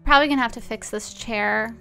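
A young woman with a high, bright voice chats informally on a live game stream. Her speech is casual and peppered with "like," and faint music plays behind her. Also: quick pace, vocal fry, clear, resonant tone. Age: 20s. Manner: chatty, informal, frequent "like". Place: small indoor room at home.

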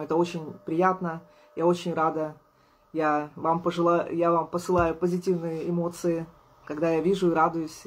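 A woman in her thirties, an artist vlogger, chatting casually to camera at a table indoors, tired and low on energy but friendly, laughing often. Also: slightly rough, rough recording. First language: Russian. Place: indoors at a table, small room.

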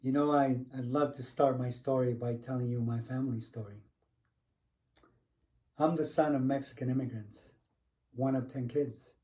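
Man playing a mellow middle-aged family storyteller. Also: mid-range voice, steady tone, normal speed, pauses often, slight background noise, close to an everyday voice. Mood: reflective. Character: reflective son of Mexican immigrants telling family history. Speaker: actor in a dramatized monologue. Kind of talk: dramatized first-person storytelling. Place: indoors, home room. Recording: slightly distant room microphone.

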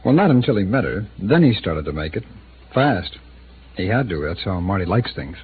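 A guarded small-town city attorney. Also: male voice, low-pitched, very animated, moderate pace. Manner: guarded, hesitant, knowing. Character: smooth evasive male city attorney, middle-aged. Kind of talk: 1950s radio drama acting. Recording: tinny lo-fi old radio broadcast with hiss.

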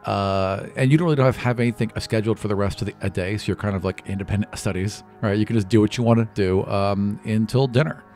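A man's voice: on the low side, steady tone, fast, hardly any pauses, darkish tone, soft background music. A man in his thirties narrating a tabletop game as game master in a casual, improvised way. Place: small indoor studio room. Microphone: close podcast microphone on stand.

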